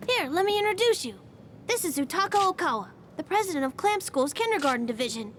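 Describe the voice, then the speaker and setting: A woman's voice: extremely high pitch, big pitch swings, fast talker, fairly bright tone, a little hiss or hum, exaggerated voice. A woman voicing an eager, polite, easily flustered young school guide in an anime dub. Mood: excited.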